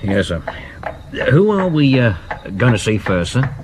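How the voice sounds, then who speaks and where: An actor playing a dry, deferential Victorian police sergeant with an English accent in a radio drama. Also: male voice, mid-range voice, sing-song, unhurried. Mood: weary. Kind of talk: 1970s radio drama acting. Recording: slightly noisy vintage radio recording.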